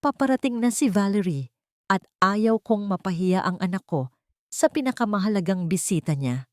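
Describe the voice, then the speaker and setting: A woman's voice: medium pitch, lively intonation, normal speed, no room sound, clean studio sound, natural voice. A woman voicing a scornful, domineering elderly mother-in-law in an audio drama, scolding with emphatic contempt.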